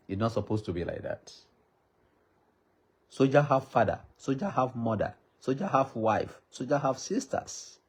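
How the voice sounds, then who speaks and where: A man's voice: medium pitch, husky. A Nigerian man with a Yoruba accent, a native Yoruba speaker, makes an impassioned public appeal in a pleading, repetitive cadence like a preacher. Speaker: traditional ruler addressing the public.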